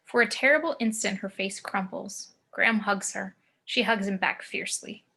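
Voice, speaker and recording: A woman's voice: medium pitch, normal speed, vocal fry, pushed and bright, breathy edge. A woman reading scene descriptions and action lines as the narrator of a screenplay table read.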